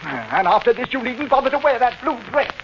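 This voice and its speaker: An actor in 1940s radio style playing a domineering, menacing master of the house, with an imperious, mock-polite manner. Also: male voice, very high voice, very animated, brisk, a crowd in the background, full character voice. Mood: stern, irritated. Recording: noisy muffled old-time radio recording.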